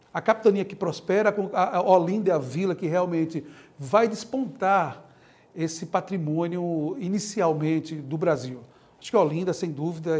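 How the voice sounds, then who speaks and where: A man from Pernambuco with a Pernambuco accent talks through his answers as an interview guest. His voice sits high, and he lays out his points eagerly, with an occasional laugh. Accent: Pernambuco Portuguese. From Pernambuco, Brazil. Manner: animated, laughs while making points. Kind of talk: explanatory talk-show interview.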